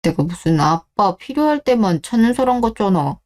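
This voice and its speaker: Mellow-voiced earnest young wife, acted by a woman. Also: mid-range voice, big pitch swings, moderate pace, lightly acted. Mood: defensive, worried. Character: married daughter, suspicious wife, earnest and hurt. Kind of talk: scripted radio-drama dialogue.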